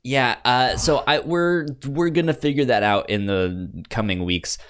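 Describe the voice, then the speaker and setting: A man's voice: medium pitch, measured pace, fairly bright tone, clear, resonant tone. A man co-hosting a podcast in casual, informal, conversational chat. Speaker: podcast co-host.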